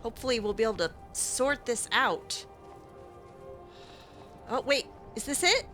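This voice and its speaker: Bright chatty woman gamer. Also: a little high, animated, measured pace. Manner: chatty, hesitant, lots of fillers. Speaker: let's play gamer. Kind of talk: in-character game roleplay commentary. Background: faint game music.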